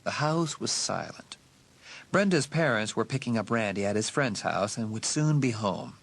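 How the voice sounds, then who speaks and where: A man's voice: on the low side, expressive, normal speed, gravelly. A man giving scripted narration of a horror story for an audiobook.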